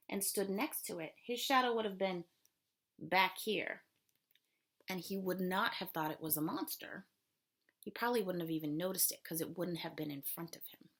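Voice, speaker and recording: A woman elementary school teacher reading aloud and then patiently explaining a lesson to children step by step. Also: mid-range voice. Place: small room at home, darkened.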